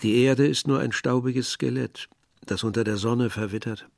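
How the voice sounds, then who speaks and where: An actor playing a reflective first-person narrator of a village youth, measured and pensive in a literary radio play. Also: male voice, mid-range voice, fairly even intonation, vocal fry, soft background music, natural voice. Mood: brooding.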